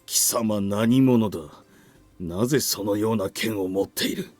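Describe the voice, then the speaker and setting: Male actor as menacing game monster, low and arrogant, very dry sound. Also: brisk, darkish tone, no room sound, lightly acted. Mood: haughty menace. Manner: haughty, threatening. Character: menacing, haughty shapeless amoeba monster. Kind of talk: Japanese visual novel voice acting.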